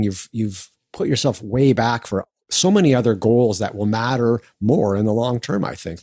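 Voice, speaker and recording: A man co-hosting a finance show, talking in a measured, thoughtful way and narrating the segment. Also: fairly low pitch, mellow, dry recording, clean studio sound. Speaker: podcast co-host.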